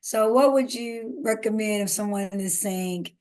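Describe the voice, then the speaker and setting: A woman's voice: mid-range voice, a little slow, a little mellow. A woman co-hosting a podcast, talking earnestly and giving emphatic advice in a casual conversation. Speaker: podcast co-host.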